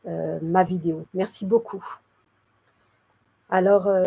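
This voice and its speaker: A woman in her forties from Cameroon talks to her viewers about her own life. Her voice is mellow and slightly husky. She hesitates often and fills gaps, apologizes for slips, and repeats words over and over to stress them. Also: mid-range voice, low-quality recording. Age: 40s. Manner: hesitant, many fillers, repeats words for emphasis; devout, apologetic. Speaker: channel host. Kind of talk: personal testimony to camera.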